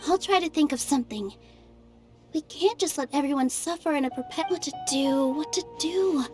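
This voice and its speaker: A woman voicing a gentle, self-doubting young female theater dancer who speaks softly and earnestly, in a game cutscene. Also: high-pitched, lively intonation, normal speed, music in the background. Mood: pondering. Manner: soft, hesitant, earnest.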